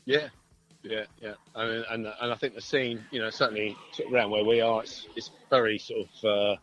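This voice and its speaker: A man's voice: fairly low pitch, lively intonation, a little fast, a bit bright, slight background noise. A British man chatting casually and agreeably as a musician guest in an interview over a phone call. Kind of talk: casual chat. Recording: dull phone-call audio.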